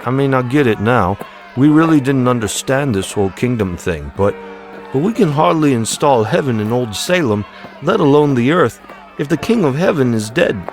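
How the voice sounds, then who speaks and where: Guy doing a nervous earnest disciple, higher pitch, music underneath. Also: lively intonation, normal speed, lightly acted. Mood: worried. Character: anxious, disillusioned male follower clinging to hope. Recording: music behind the voice.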